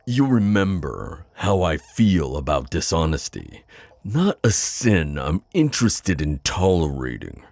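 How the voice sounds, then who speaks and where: A man playing a suave, skeptical demon buyer in an ASMR audio roleplay, with a deep voice and a sardonic, halting manner. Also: big pitch swings, creaky, darkish tone, no echo, heavily acted. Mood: skeptical, probing. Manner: flirty, sardonic, hesitant pauses and drawn-out words.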